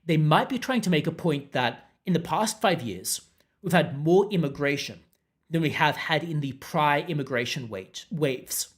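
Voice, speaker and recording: A man's voice: a little high, lively intonation, normal speed. Unruffled man with a mixed accent. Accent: mixed international accent. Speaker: podcast host. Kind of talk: casual commentary reading out viewer comments.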